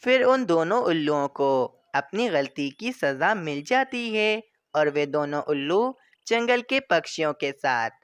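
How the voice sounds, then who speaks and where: Slow, lively woman narrator. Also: deep voice, clear, resonant tone, dry recording. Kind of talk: storybook narration.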